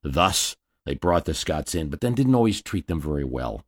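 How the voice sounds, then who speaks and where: A man's voice: extremely low pitch, big pitch swings, moderate pace. A man hosting a history podcast, telling the story in a scripted narration with wry asides. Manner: storytelling, dramatic readings of quotes.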